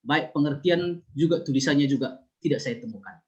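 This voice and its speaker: Male participant from Karo, earnest and repetitive, hesitant fillers, slightly high voice. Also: a little fast, darkish tone, dull audio. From Karo, North Sumatra. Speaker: seminar participant asking questions.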